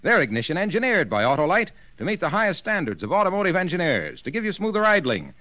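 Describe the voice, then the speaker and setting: An eager salesman in a 1940s radio commercial skit. His voice is bright and forceful, set a bit high and very animated. The patter is glib and punchy. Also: fast talker, no echo, exaggerated voice. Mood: eager selling.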